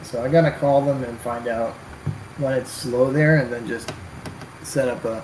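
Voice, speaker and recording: A man's voice: medium pitch, fairly even intonation, normal speed, darkish tone, a little hiss or hum, poor audio. A man talking casually about wargaming while he paints, rambling and hesitant, with many fillers. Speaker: wargaming hobbyist.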